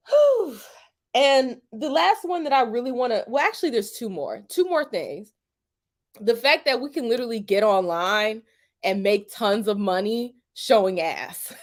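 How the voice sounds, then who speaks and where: A young woman giving a casual opinion monologue to camera, emphatic and colloquial, often checking in with "okay?", swearing freely and laughing between points. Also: high-pitched. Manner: colloquial, drops into "y'all" and "ain't". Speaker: YouTube commentator.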